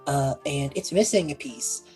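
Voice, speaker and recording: A woman's voice: rumbling low, a little slow, dark tone, faint music behind, pitched below the performer's own voice. A woman playing an earnest, anxious young male time traveler in a tabletop game, thinking aloud hesitantly. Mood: worried musing.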